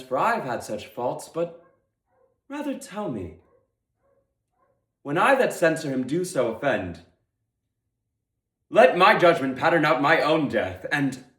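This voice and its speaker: A man playing a stern, pompous deputy ruler in a declamatory, deliberate Shakespearean stage monologue. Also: mid-range voice, sing-song, a bit bright. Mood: stern, resolute. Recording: echoey room.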